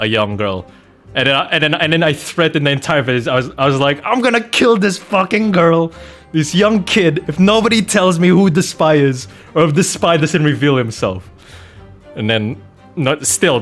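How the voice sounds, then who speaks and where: A man with an average-pitched voice talks casually as a game streamer. He spins anecdotes in an animated, jokey manner, sliding into mock-innocent protest. Soft music plays behind him. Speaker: streamer playing a video game and host of the channel.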